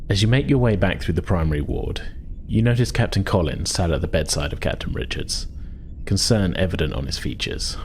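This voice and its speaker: A man's voice: deep voice, expressive, fairly quick, darkish tone, a little hiss or hum. A man narrating a tabletop science fiction game as game master and host.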